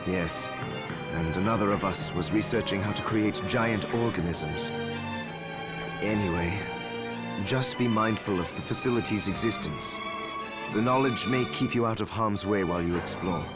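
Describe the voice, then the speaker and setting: Man playing a low-voiced, serious alchemist. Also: lightly acted. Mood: explaining, cautioning. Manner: measured, explanatory, considerate. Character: calm, serious male alchemist and adviser. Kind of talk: video game cutscene acting. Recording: loud music under the voice.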